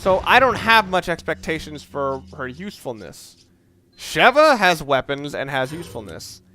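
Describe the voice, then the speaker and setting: A man giving casual, opinionated gameplay commentary, rambling and halting as he goes, with casual swearing. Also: on the high side, big pitch swings, sharp tone. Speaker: let's play gamer commentator.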